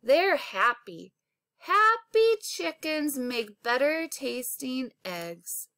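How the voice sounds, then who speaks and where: A woman's voice: high-pitched, very animated, extremely slow, pushed and bright, no room sound, clean studio sound, heavily acted. A woman voicing a proud, folksy mother selling farm eggs in a children's storybook read-aloud. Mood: persuasive.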